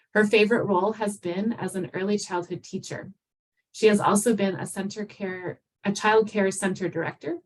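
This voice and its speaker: Female symposium host reading a prepared introduction with small slips; a little off-mic, mid pitch. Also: far from the mic, poor audio.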